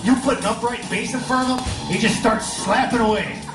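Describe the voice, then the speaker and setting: A man playing a blustering, hard-drinking old police captain in a comedic radio drama, loud and folksy. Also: very high voice, fairly quick, far from the mic. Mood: wry, folksy. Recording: roomy, off-mic, music behind.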